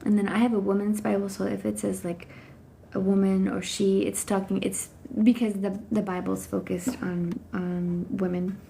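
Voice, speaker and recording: A woman's voice: medium pitch, little pitch movement, normal speed, vocal fry, mellow, a little hiss or hum. A woman in her thirties chatting casually about her Bible reading on a phone livestream from home, with lots of fillers and restarts.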